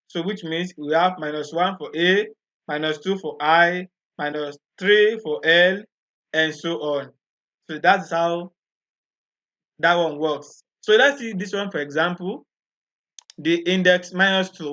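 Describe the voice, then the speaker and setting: A man with a slightly high, bright voice talks slowly and patiently through a coding tutorial. He explains each step and often repeats himself. The recording sounds dull and lo-fi. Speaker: programming tutor. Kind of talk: screen-recorded coding walkthrough.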